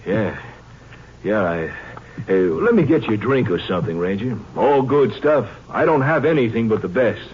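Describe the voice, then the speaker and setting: An actor playing an oily, evasive gambling racketeer who stammers nervously in a 1950s radio crime drama. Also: male voice, lowish voice, lively intonation, moderate pace, lightly acted. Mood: nervously ingratiating. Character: slippery, nervous male gambling-joint racketeer. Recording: lo-fi old radio recording, faint noise.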